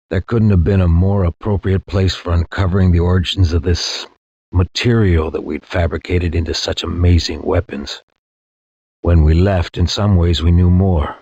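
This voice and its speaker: An actor playing a somber veteran soldier who narrates his war on Mars in a grave, measured, reflective way. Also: low voice, a little mellow, husky, no echo, full character voice. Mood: grim reflection. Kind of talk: scripted dramatic narration. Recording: muffled, lo-fi game audio.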